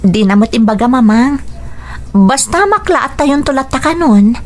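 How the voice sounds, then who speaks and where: An actress playing a patient, devoted young wife in a radio drama, earnest and long-suffering. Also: female voice, sits high, animated, measured pace, a little mellow. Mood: dutiful, reassuring.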